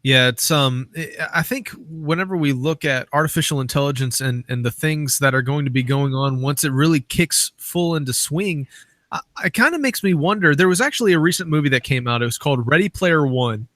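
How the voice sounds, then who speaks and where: Guy hosting an interview, kinda high voice, dry close sound, chatty and rambly. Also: fairly quick, fairly bright tone, dry recording. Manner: informal, rambling lead-ins to questions. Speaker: interviewer, host. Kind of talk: casual conversational interviewing.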